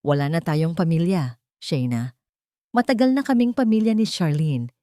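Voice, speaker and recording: A woman voicing a menacing, hateful husband, the villain of a story, in a threatening and forceful way. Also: low-pitched, expressive, moderate pace, no room sound, clean studio sound. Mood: callous.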